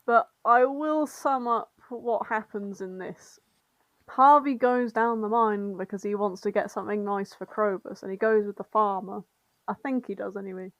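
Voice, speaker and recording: A woman's voice: mid-range voice, steady tone, moderate pace, no room sound, rough recording. Woman, giggly and dryly recorded. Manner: giggly, irreverent, rambling. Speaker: podcast co-host reading and summarising fan fiction. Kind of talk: casual comedic storytelling chat.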